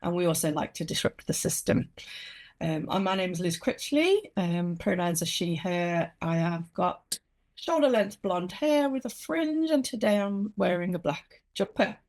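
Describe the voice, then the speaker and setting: A woman's voice: lowish voice, lively intonation, normal speed. Chatty woman hosting a podcast. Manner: warm, laughs often, many ums. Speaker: podcast host. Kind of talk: casual interview chat.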